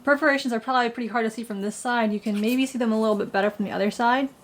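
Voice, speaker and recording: A woman's voice: on the high side, fairly even intonation, very fast. A woman who is getting over a cold, walking through a paper craft tutorial with plain, patient, step-by-step instructions. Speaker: craft blogger.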